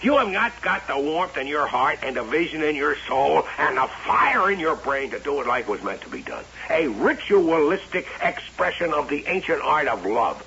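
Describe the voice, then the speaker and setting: An American actor playing a troubled, short-tempered carnival showman in an old-time radio drama, halting and theatrical as he speaks. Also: a little high, very animated, gravelly, pushed and bright, slight background noise, full character voice. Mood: exasperated. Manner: halting, self-questioning, theatrical. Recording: muffled vintage radio recording with music.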